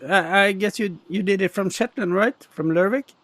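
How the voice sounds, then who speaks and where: A man's voice: sits high, normal speed, a bit bright, dry recording. A man hosting a podcast, introducing the episode and interviewing guests. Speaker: podcast host.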